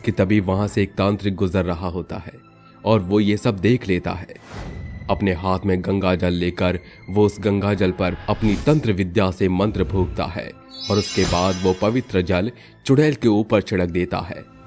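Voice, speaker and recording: A man narrating a scripted horror story for a cartoon storybook channel, steady and dramatic. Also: sits low, moderate pace, soft background music.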